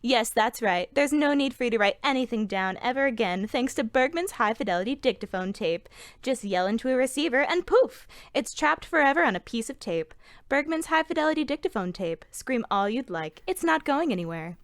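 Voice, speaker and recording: Vintage radio ad parody announcer, played by a woman: peppy, emphatic pitch-woman, raised voice, very dry recording. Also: expressive, brisk, no room sound. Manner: salesy, emphatic. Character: peppy old-time radio sponsor announcer, sales pitch. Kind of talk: parody 1940s radio advertisement.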